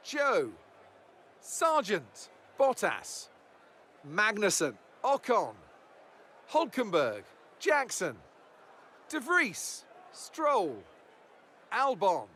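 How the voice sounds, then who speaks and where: High, animated male race commentator. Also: extremely slow, long pauses. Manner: upbeat, announcer-like. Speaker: sports commentator. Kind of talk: polished race broadcast commentary.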